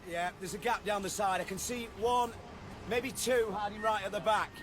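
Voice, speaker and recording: Brisk, commanding woman official played by an actress. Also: medium pitch, a little hiss or hum, lightly acted. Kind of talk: radio drama acting.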